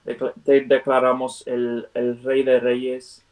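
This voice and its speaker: Man leading a prayer, halting. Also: mid-range voice, completely flat delivery, darkish tone. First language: not Spanish. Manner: hesitant, many fillers and restarts. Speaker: Bible study leader. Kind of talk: prayer and Bible study on a video call. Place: at home on a video call. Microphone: computer mic on a video call. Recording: video call audio from far off the mic.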